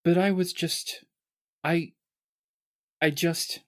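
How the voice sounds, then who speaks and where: A man's voice: on the high side, steady tone, slow pace, a bit bright, voice pushed up. A man voicing a middle-aged woman who wakes up injured after a shipwreck, asking panicked questions. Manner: frightened, breathless questions.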